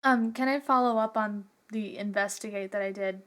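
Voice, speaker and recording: A woman's voice: mid-range voice, fairly even intonation, normal speed, a bit bright. A woman playing in a tabletop roleplaying podcast, talking casually with the group. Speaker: player in an actual-play podcast. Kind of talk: casual game table talk.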